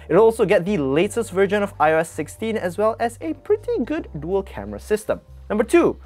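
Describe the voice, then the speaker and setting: A young Malaysian woman runs through tech bargains in a brisk, chatty way, her low-set voice swinging widely in pitch. She speaks from a small padded studio on an off-camera mic, with faint music underneath. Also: darkish tone. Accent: Malaysian English. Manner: brisk, upbeat, chatty asides with gestures. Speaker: tech channel presenter. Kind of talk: scripted product rundown. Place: small studio with red-lit acoustic panels.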